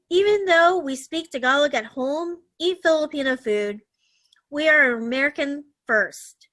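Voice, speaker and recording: A woman reading a political speech aloud over a video call, with deliberate emphasis and the odd stumble. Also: sits high, sharp tone. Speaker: meeting speaker reading a message.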